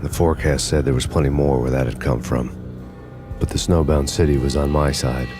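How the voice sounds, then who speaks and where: An actor playing a weary, hard-boiled fugitive cop, narrating a noir video game in a terse deadpan. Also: male voice, very deep voice, brisk, over music. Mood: grim, deadpan.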